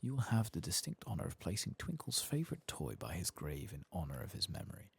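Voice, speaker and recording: A man voicing a pompous, condescending rich adventurer from a fantasy game, softly and breathily, with haughty, florid phrasing. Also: low-pitched, huge pitch swings, quick pace, vocal fry, slightly rough, full character voice. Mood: grandiose.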